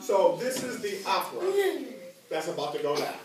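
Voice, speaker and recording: A man's voice: sits high, normal speed, vocal fry. A middle-aged American man giving a playful, theatrical presentation to children in a classroom. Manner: animated, theatrical. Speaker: presenter at a school event. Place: classroom, indoors, full of children. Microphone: distant camera. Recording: very echoey, noisy classroom, mic far away.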